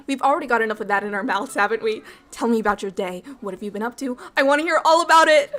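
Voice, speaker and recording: A woman voicing a bubbly, friendly alien ambassador in an ASMR roleplay, chatty, excitable and quick to apologise. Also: fairly high pitch. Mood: bubbly, warm.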